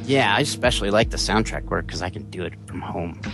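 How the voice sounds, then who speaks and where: A man in his forties with a deep voice, chatting loosely as an interview guest with plenty of fillers. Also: fast talker, vocal fry, over music. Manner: rambling, relaxed, many fillers. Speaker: interview guest, musician and actor. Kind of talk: casual interview chat.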